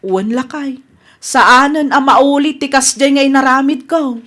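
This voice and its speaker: A woman's voice: sits high, measured pace, fairly bright tone. A woman with an Ilocano Filipino accent acting a flustered village wife in a radio comedy drama. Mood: sheepish. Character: flustered, blame-casting village wife, later apologetic.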